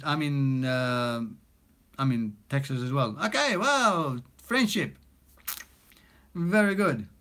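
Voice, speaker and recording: A man's voice: medium pitch, a bit bright. Man, 40s, non-native English accent; casual, filler-heavy live-stream chat, phone mic in small studio. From Australia. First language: not English. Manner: chatty, reads out viewer comments with many fillers. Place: small home recording studio, indoors. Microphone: phone camera at arm's length.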